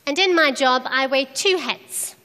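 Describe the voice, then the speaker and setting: A middle-aged South African woman giving a church address in short, simple phrases, pausing for an interpreter. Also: high-pitched, sharp tone. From South Africa, Johannesburg. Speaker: guest speaker, charity program director. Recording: echoey hall with long reverb.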